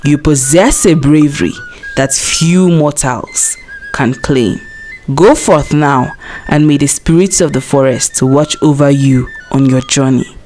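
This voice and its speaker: Woman voicing a solemn, low forest spirit. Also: measured pace, darkish tone, slight background noise, rough recording. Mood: solemn, approving. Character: solemn, tall forest spirit, wise guardian. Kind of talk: storybook character reading.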